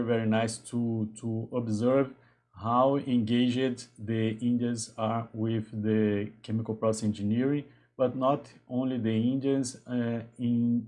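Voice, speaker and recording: A man in his thirties from Brazil with a Brazilian Portuguese accent, talking casually on a live stream in a halting way with frequent restarts. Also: mid-range voice, a little mellow. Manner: halting, restarts often, greets viewers. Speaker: engineer hosting a live stream.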